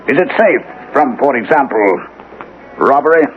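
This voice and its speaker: A man's voice: mid-range voice, animated, normal speed, slight background noise, lightly acted. Man playing sardonic, unruffled Mister Mole, muffled old radio. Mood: curt, cool. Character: cryptic, coolly insolent man in a wheelchair, calling himself Mister Mole. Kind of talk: radio drama acting.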